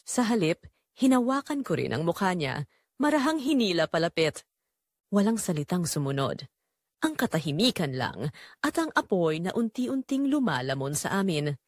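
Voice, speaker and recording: A woman with a Filipino accent narrating a scripted drama in the first person, measured and emotive with pauses. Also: on the low side, big pitch swings, dry recording, clean studio sound. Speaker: storyteller.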